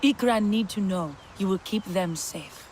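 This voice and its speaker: A woman playing a proud, earnest rider of an alien plains people, with measured, formal phrasing in a video game drama. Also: medium pitch, darkish tone, a little hiss or hum, close to an everyday voice. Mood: welcoming.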